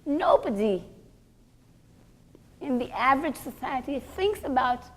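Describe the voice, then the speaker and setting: A woman's voice: high voice, very animated, a little slow, a bit bright, rough recording. A woman giving a religious lecture, teaching emphatically, repeating key phrases and posing rhetorical questions.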